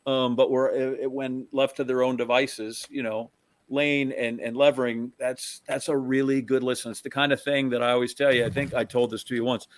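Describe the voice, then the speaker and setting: A middle-aged man co-hosting a sports podcast, chatting casually with strong opinions and rambling, halting phrasing. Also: medium pitch, flat delivery, dry recording.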